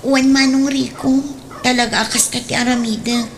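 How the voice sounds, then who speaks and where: A woman's voice: high-pitched, little pitch movement, normal speed, slight background noise. A woman with an Ilocano accent playing an emotional young woman in a melodramatic comedy radio play. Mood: resigned. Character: young woman, emotional partner of an old man.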